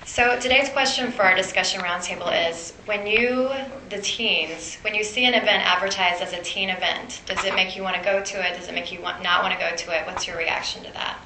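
A woman's voice: mid-range voice, fairly even intonation, a little fast, few pauses, pushed and bright. A woman hosting a podcast, addressing listeners and panelists. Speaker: discussion host. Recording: echoey room with faint noise.

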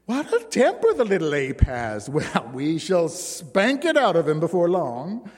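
A big, boisterous bride mocks her husband in a loud, sing-song voice, as a male reader puts her on. Her pitch leaps up and down with a long room echo behind it. She sounds strong and sure of herself. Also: wildly swooping pitch, measured pace, heavily acted. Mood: teasing hearty. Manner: hearty, mocking, loud. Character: strong, boisterous laughing female circus bride.

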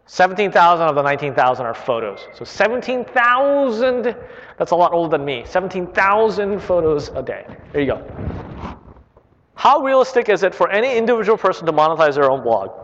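A man's voice: high-pitched, very animated, fairly quick, fairly bright tone. Chatty man in a reverberant library hall. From Korea. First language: Korean. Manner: casual, chatty, lots of "right?" and "uh". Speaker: internet company chief executive. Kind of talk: live Q&A talk. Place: large public library hall. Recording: dull hall recording with long reverb.

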